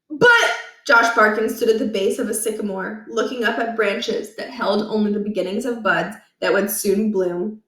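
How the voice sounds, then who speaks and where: A woman's voice: mid-range voice, a bit bright, recorded from a distance. A woman reading aloud as a storyteller, narrating a children's book from the text. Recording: echoey, roomy home recording.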